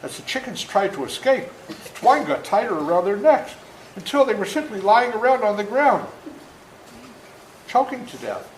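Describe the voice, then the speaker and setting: An elderly man tells a story to children in a folksy, animated manner. His fairly high voice moves through a lively range of pitch. He sounds like he is in a roomy indoor space with some echo. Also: moderate pace, pauses often. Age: 70s. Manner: folksy, interactive, acts out moments. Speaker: author reading his book to children. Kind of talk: animated storytelling read aloud to a young audience. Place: indoor room before a school audience. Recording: roomy echo, faint background noise.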